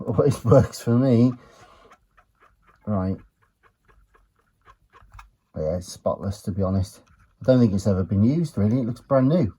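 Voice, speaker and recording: A man giving an unhurried, low-key, matter-of-fact commentary as he works on a gadget, muttering to himself at times. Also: animated, dark tone, breathy edge. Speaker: retro electronics hobbyist presenter. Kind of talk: casual hands-on teardown commentary.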